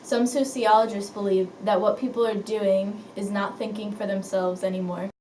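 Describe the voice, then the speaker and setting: A woman's voice: mid-range voice, fairly even intonation, normal speed, darkish tone, natural voice. A woman playing an earnest 1950s news anchor, reading scripted items in an amateur mock newscast. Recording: echoey room, distant mic, faint noise.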